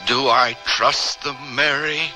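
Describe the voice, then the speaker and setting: An actor playing a secretive, exhausted middle-aged scientist in a radio drama, speaking in a halting, grave and intense way. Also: male voice, mid-range voice, fairly even intonation, over music, natural voice. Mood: pleading, desperate. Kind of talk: old-time radio drama acting.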